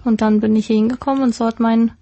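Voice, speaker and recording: A woman's voice: a little high, steady tone, quick pace, mellow, lo-fi sound. A woman in her mid twenties from Germany's Ruhr area, chatting casually as an interview guest and mixing in English words. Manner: informal, mixes in English words. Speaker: interview guest, YouTuber and presenter. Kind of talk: casual chat.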